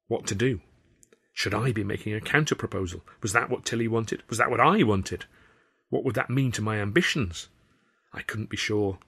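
A man reading his own first-person novel aloud as a wry, expressive storyteller. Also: fairly low pitch. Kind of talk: first-person audiobook narration.

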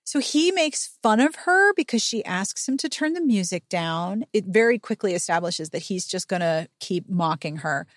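A woman's voice: mid-range voice, animated, moderate pace, hardly any pauses, dry recording, studio quality. An American woman hosting a casual podcast book recap, emphatic and indignant, swearing freely. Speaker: podcast host.

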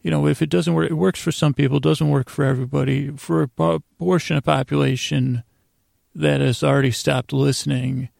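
Very creaky-voiced man rambling gently. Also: fairly high pitch, dry recording, studio quality. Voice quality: creaky, gravelly. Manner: meandering, halting, trailing off and circling back; goofy, absurd deadpan nonsense. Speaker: podcast host. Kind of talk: rambling bedtime sleep podcast monologue.

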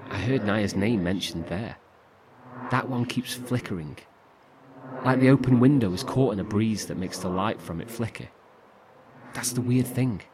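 Casting: male actor for worn-down, fearful survivor in horror audio drama; fairly deep, hesitant, intimate delivery over a clearly noisy bed. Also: close to an everyday voice. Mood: pensive, uneasy. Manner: halting, confiding, with sighs and swearing. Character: weary, frightened male survivor trapped in darkness. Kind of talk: first-person horror audio drama monologue. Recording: clearly noisy, rumbling ambience.

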